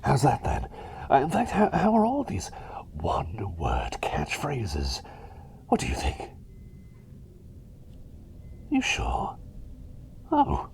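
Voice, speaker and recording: A man's voice: mid-range voice, wildly swooping pitch, slow pace, creaky, long pauses, a little mellow, slight background noise, full character voice. Man playing a whispery, eccentric, cheery plague doctor. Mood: hopeful, deflated. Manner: whispery, rambling, hesitant, soothing. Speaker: ASMR roleplay performer. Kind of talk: whispered ASMR personal attention roleplay.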